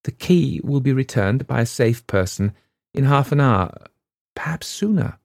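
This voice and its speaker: A man voicing a frightened, trembling young woman who speaks in breathless, hurried pleas. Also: mid-range voice, lively intonation, gravelly, darkish tone, no room sound, studio quality, pitched above the performer's own voice. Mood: pleading.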